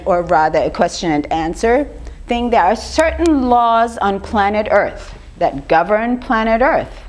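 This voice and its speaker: Older woman lecturing with a slightly rough voice. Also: mid-range voice. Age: 50s or older. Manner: conversational, rhetorical questions to the audience. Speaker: speaker giving a lecture on raw food. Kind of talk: informal public lecture with audience questions.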